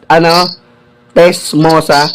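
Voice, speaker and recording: A man's voice: a little high, little pitch movement, slow, noisy background. A Filipino man on a casual prank call, posing as a delivery rider with polite, hesitant patter. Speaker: prank-calling vlogger. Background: music.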